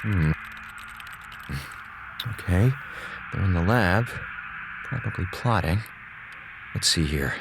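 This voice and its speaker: A man's voice: sits low, animated, takes it slowly, creaky, pauses often, noisy background, heavily acted. An actor playing a sarcastic, self-pitying male comms officer stranded in space, talking to himself in a running monologue. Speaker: communications officer. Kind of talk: audio drama acting.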